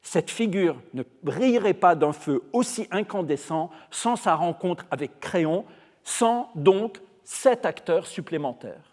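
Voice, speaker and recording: Male lecturer, first language Arabic, high voice, measured and emphatic, in a large echoing hall. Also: lively intonation, a little mellow. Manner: measured, emphatic, slows on key phrases. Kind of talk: formal lecture. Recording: long hall reverb.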